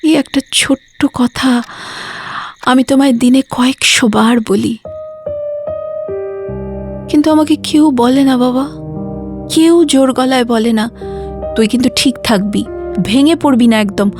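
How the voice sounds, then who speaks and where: A woman's voice: high voice, a little slow, long pauses, over music. A woman playing a weary, devoted daughter who cares for her bedridden father, confiding and emotional in an intimate audio drama monologue. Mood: anguished, exhausted.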